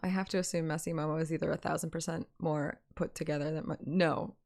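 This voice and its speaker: A woman's voice: sits low, flat delivery, quick pace, gravelly. A woman streamer with a casual, giggly, self-deprecating manner who swears and reads a game story aloud. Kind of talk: casual chat and dramatic story read-aloud. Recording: loud music behind. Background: music.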